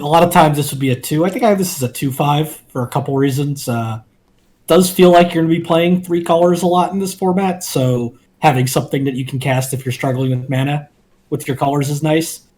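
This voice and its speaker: A man co-hosting a card game review, talking in a measured, analytical way with some filler ums. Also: a little high, a little mellow. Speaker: co-host.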